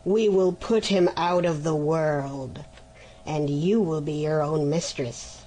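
A woman voicing a sly, scheming male griffin in a fairy tale reading, speaking low and coaxing. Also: slow, dark tone, low-quality recording.

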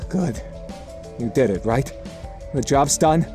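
A man's voice: mid-range voice, expressive, slow pace, over music. A man playing a tense, crooked businessman, curt and uneasy but firm, in an audio drama. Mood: nervous. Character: tense, uneasy male criminal businessman hiring an arsonist.

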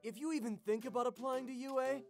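A man's voice: very high voice, fairly even intonation, fast talker, darkish tone, faint music behind. An actor playing a hot-headed, violent teenage boy bully in a cartoon parody, aggressive and profane. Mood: menacing.

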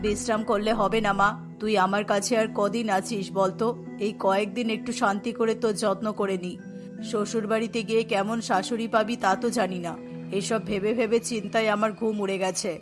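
A woman's voice: mid-range voice, flat delivery, brisk, music in the background, voice pushed down, natural voice. A woman voicing a doting, worried middle-aged mother in a cartoon story. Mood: tender, worried.

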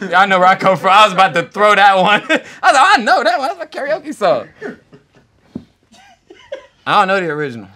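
A man's voice: high voice, brisk, pauses often. A young man competing on a music game show in a studio, playful and chatty, singing along. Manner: playful, sings along, animated. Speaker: contestant. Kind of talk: casual game show banter. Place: studio set.

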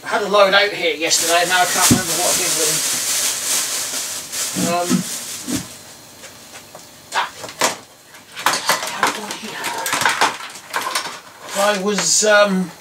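A man's voice: sits high, fairly even intonation, takes it slowly, long pauses. A middle-aged man with an English accent gives a casual hands-on tutorial, rambling and thinking aloud in a small indoor workroom. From England. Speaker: host of a DIY electronics video. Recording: distant mic, some background noise.

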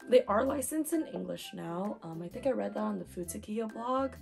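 Hoarse, chatty young woman vlogger. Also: medium pitch, normal speed. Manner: chatty, excitable, lots of fillers. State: hoarse from talking too much. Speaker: manga unboxing vlogger. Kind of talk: casual unboxing chat.

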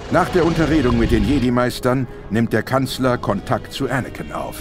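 Ein Mann liest als Erzähler den Text eines dramatischen Hörspiels. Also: male voice, mid-range voice, brisk, over music. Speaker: narrator. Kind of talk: scripted dramatic audio drama narration.

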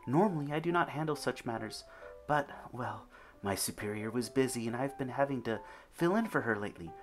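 A man voicing a gentle, soft-spoken young female goddess, hushed and kindly. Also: mid-range voice, lively intonation, fairly quick, soft background music, lightly acted. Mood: gentle, consoling. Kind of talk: fan reading of a story.

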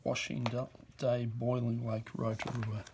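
A man presenting an auction lot, reading postcard captions aloud in short, unhurried bursts at a desk. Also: medium pitch, completely flat delivery, background noise. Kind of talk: reading out postcards while browsing. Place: indoor office desk.

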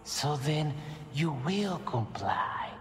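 An actor playing a pompous, theatrical lord of a castle and cult zealot, speaking with courtly, grandiose formality in a video game. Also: male voice, fairly high pitch, very animated, slow pace. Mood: grandiose menace. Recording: echoey hall reverb, music behind.

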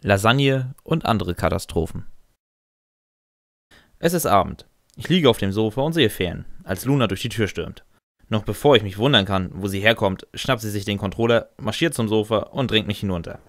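A man's voice: lowish voice, expressive, normal speed, dry recording, studio quality. A man reading scripted fan fiction as a first-person narrator.

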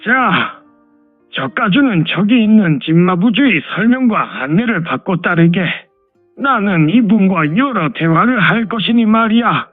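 남자가 연기하는 느릿하고 높은 음성의 무협 마교 대장로. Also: male voice, high voice, lively intonation, unhurried, no echo. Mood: authoritative. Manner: old-fashioned elder speech, authoritative. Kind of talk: Korean martial-arts audio drama acting. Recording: phone-like thin sound, music behind.

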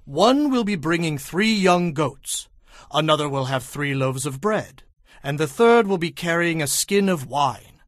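An actor playing a solemn, commanding old prophet in an audio Bible drama, declaiming deliberately. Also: male voice, a little high, lively intonation, no echo, clean studio sound. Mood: solemn, instructing.

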